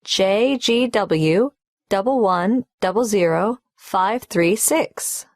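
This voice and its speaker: A woman's voice: medium pitch, takes it slowly, dry recording, clean studio sound. A woman playing a young applicant filling in a tax form in a scripted exam dialogue, carefully spelling out names and numbers. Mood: polite.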